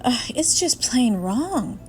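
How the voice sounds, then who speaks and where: A woman voicing an anxious, excitable young schoolboy from a storybook, exclaiming in a flustered, dramatic way. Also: on the high side, takes it slowly, soft background music, pitched above the performer's own voice. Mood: flustered protest.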